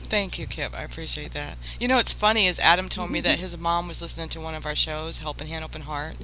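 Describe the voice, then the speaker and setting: Female, bright forward voice, bubbly casual chat, faint noisy hum. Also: sits low, steady tone, fast talker. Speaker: radio show regular and voice actor. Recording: noisy recording with background hum.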